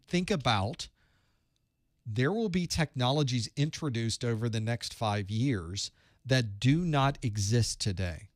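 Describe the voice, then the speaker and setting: A man's voice: mid-range voice, no echo, clean studio sound. A man hosting a live study group as an IT instructor, talking earnestly and off the cuff, often restarting and repeating phrases.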